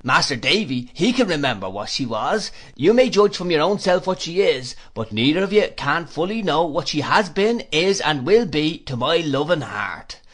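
A man performs an older fisherman uncle from a classic storybook, rough and humble but bursting with love. His country is thick with emphatic, rambling exclamations. The voice rides raised and loud with excitement. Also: on the high side, normal speed, hardly any pauses, pitched above the performer's own voice. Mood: proud, tender. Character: jubilant, rough-hewn, big-hearted older fisherman uncle.

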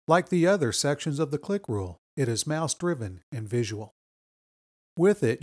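A man reading scripted instructional narration as a course instructor, explaining in a measured way. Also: a little high, darkish tone.

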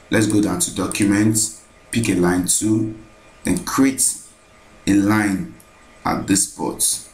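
A man narrating a screen-recorded software tutorial, thinking aloud as he repeats each step. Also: lowish voice, reverberant, poor audio.

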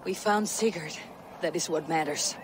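A woman's voice: deep voice, moderate pace, noisy background, close to an everyday voice. An actress playing a fierce, loyal Viking warrior woman in a video game, blunt and commanding. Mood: resolute. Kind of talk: dramatic video game acting.

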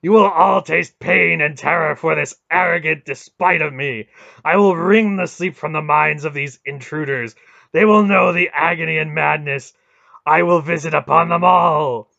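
A man voicing a vast, grieving and vengeful god with loud, archaic, menacing speech in a storybook reading. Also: medium pitch, slow, gravelly, dry recording, muffled recording. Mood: vengeful fury. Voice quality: loud, roaring, howling.

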